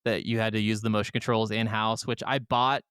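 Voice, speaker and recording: A man's voice: lowish voice, little pitch movement, fairly quick, fairly bright tone, clean tone, no echo, clean studio sound. A man speaking as a podcast co-host, chatting casually and colloquially about video games, swearing now and then.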